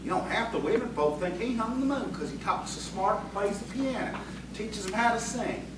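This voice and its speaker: Male actor as sly folksy henchman, high voice, animated swings, roomy distant recording. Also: moderate pace. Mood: placating. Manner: folksy country phrasing. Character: rustic sly crony, placating his hot-headed boss. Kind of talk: audio theatre acting. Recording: echoey, distant, noisy stage recording.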